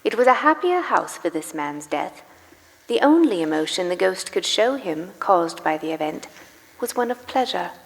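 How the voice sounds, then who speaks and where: A woman narrating a dramatic story live in a reverberant church, with grave, deliberate phrasing. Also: lowish voice, sing-song, creaky. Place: live performance in a large church. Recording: reverberant church hall, music behind. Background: music.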